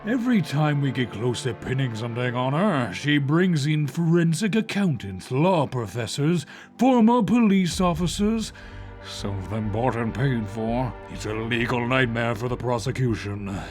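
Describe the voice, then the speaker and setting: A man's voice: mid-range voice, sing-song, darkish tone, soft background music, close to an everyday voice. Man playing a grave, authoritative police chief. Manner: grave, deliberate briefing delivery. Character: authoritative male police chief, serious and measured. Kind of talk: audio drama acting.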